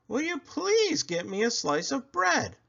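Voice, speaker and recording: A man voicing an insistent mother rabbit in a children's storybook, repeating requests with drawn-out emphasis. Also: extremely high pitch, huge pitch swings, creaky, a bit bright, muffled recording, pitched above the performer's own voice, exaggerated voice. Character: insistent mother rabbit asking her son.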